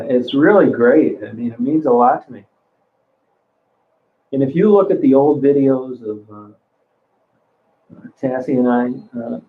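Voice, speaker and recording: A man's voice: medium pitch, slight background noise. A man in his sixties chatting casually on a livestream, rambling, cursing freely and often restarting his sentences. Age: 60s. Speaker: livestream host reading chat. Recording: phone-like narrow sound.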